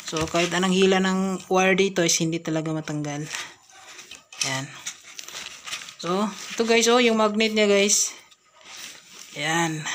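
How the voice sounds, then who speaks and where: A woman with a Filipino accent giving a casual hands-on product review, speaking off the cuff in short, halting phrases. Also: mid-range voice, noisy background.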